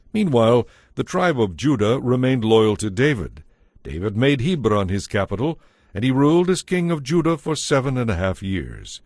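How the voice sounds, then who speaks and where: A man's voice: medium pitch, a little mellow, no echo, clean studio sound. A man narrating a dramatized audio Bible as a scripted storyteller.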